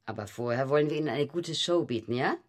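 A woman's voice: very deep voice, fast. An actress in a radio drama playing a cocky, bossy female wrestler who talks in a teasing, patronising way.

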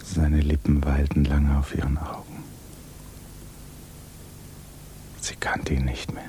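A man narrating a 1950s radio drama in a hushed, measured, grave and restrained manner. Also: very deep voice, level tone. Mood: somber. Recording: noisy 1950s radio recording.

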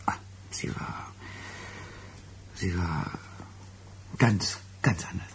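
An actress playing an evasive, flustered woman guarding a secret in a German radio drama, halting and hesitant. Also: a bass voice, in a whisper, full character voice.

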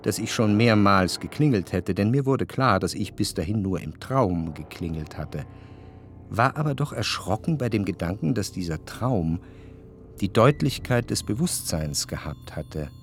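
An actor playing a reflective, cultured man who recalls his past in a literary radio drama, with measured, precise diction. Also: fairly low pitch, expressive, soft background music. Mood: uneasy. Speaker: narrator.

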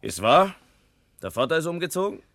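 An actor playing a cocky, sly, swaggering village bully with a teasing manner in a German radio play. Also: male voice, a little high, very animated, brisk, a bit bright, no echo, clean studio sound. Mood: insinuating, boastful.

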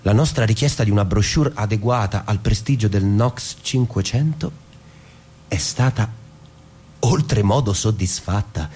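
A man's voice: lowish voice, a little slow, a little mellow, a little hiss or hum, lightly acted. Pompous declamatory company executive played by a man. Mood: pompous, formal. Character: pompous company executive dictating a flowery business letter. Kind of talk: theatrical radio drama, formal letter reading.

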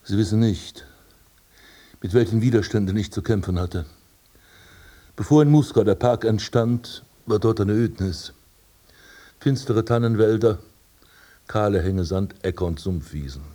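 An actor playing a haughty, vain aristocratic prince in a radio play, speaking in a pompous, formal manner. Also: male voice, lowish voice, measured pace, long pauses, warm tone. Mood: proud, reminiscing. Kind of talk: German radio play acting.

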